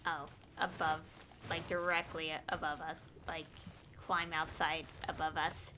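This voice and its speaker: Low-key woman streamer thinking aloud. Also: flat delivery, unhurried, noisy background. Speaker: game streamer. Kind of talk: casual livestream gameplay commentary.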